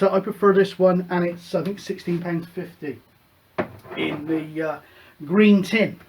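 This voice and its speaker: Rambling man, fairly high voice. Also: slight background noise. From United Kingdom. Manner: relaxed, rambling, many fillers. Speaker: radio hobby show host. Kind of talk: casual show-and-tell chat.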